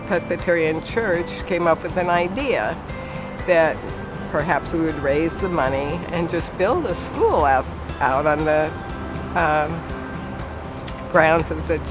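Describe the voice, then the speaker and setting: An older man with a fairly high voice speaks slowly, pausing often and filling gaps with small hesitations. He recalls his years as a preschool director in a reflective interview. A clear music bed plays underneath him. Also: low-quality recording. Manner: unhurried, with frequent pauses and fillers.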